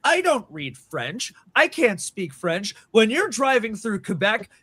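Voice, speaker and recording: A man's voice: sits high, very animated, unhurried, sharp tone, breathy edge, dry recording. A man telling a long, rambling story to friends with lots of fillers and restarts, acting out quoted dialogue. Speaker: band member and show regular. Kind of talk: casual storytelling among friends. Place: small room with several people around mics.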